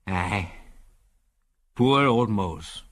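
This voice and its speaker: An old Scottish prospector, acted out by a male reader, gruff and homely in a storybook adventure. His delivery swings widely in pitch, and the voice has a husky edge. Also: expressive, very slow, heavily acted. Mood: mournful, resigned. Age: older. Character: old gruff Scots prospector, homely, plain-spoken, hospitable.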